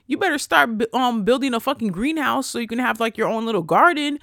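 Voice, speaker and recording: A man hosting a casual solo podcast, ranting in an animated, profane way that swings between loud bursts and quiet asides. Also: very high voice, fast, gravelly, no echo, clean studio sound. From Haitian family. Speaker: podcast host.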